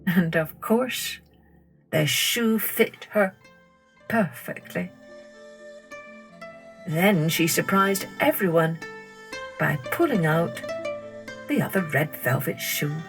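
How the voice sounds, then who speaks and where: A woman storyteller reading a scripted children's tale in a deliberate, expressive manner. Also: lowish voice, soft background music. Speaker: storyteller, podcast host.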